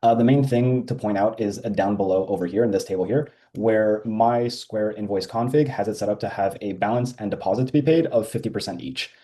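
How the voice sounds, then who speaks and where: Low, mellow man giving a software demo. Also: brisk, few pauses. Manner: casual, many ums and uhs. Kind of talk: screen-share software walkthrough.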